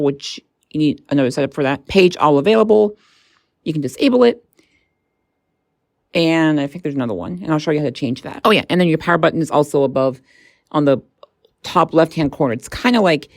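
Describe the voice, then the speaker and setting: A woman's voice: deep voice, a little mellow, dry recording, studio quality. A woman presenting a casual hands-on gadget review, informal and a little tentative as she thinks aloud.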